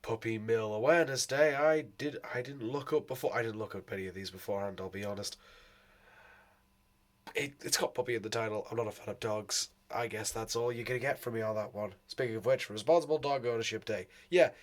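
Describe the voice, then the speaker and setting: Man, hoarse sore throat, voice cracking, lower pitch, casual rambling vlog talk. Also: fairly quick. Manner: rambling, swearing, laughing often. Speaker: vlogger.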